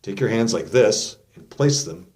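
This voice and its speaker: A man's voice: lowish voice, expressive, measured pace, a little mellow. Casual male vocal coach explaining. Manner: informal, demonstrative, step-by-step explaining. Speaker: vocal coach hosting an instructional video. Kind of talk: casual explanatory lesson to camera.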